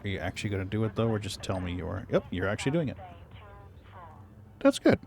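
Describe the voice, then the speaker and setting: Relaxed man chatting while gaming. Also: fairly low pitch, fast, creaky, faint music behind. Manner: relaxed, talks to himself, hums idly. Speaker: streamer. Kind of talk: casual chat while gaming.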